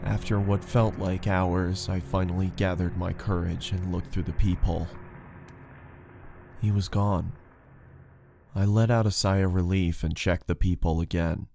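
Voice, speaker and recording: Deep-voiced man narrating a horror story. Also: steady tone, unhurried, vocal fry, background noise. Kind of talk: scripted first-person horror narration.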